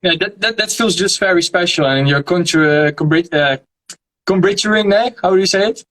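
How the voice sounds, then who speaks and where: A young Dutch man speaking English as a second language, a guest in a casual interview, often pausing to search for words. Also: high voice, fairly bright tone, rough recording. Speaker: guest, history video creator. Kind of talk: casual video-call interview.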